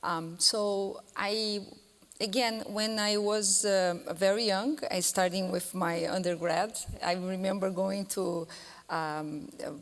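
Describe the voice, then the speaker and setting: A woman's voice: mid-range voice, fairly even intonation, slow. A woman in her fifties with a Brazilian accent, telling a reflective story on a conference panel with frequent fillers. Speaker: panelist, chief scientist. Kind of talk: panel discussion answer. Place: large conference hall stage. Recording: long hall reverb.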